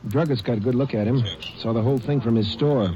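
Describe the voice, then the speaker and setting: A man's voice: mid-range voice, fast, lightly acted. An actor playing a helpful male lawman briefing a Ranger in plain terms, in a 1940s radio drama. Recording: lo-fi vintage radio recording with crowd.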